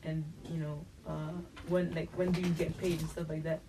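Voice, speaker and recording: A woman's voice: low-pitched, little pitch movement, moderate pace, recorded from a distance, noisy background. A young woman from the Philippines, a podcast co-host who asks curious, hesitant questions. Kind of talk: casual chat.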